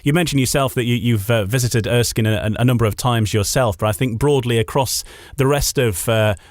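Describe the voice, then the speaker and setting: A man presenting a radio interview show, with a medium-pitched voice and a dry sound free of room echo. He links segments and puts questions to a guest. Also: fairly quick. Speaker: radio host. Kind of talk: radio interview hosting, reading out listeners' questions.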